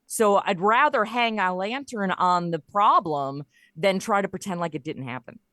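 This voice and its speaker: A woman's voice: medium pitch, normal speed, a bit bright, no room sound. Woman chatting casually, close dry sound. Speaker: guest, writer of a comedy audio show. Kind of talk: casual interview chat.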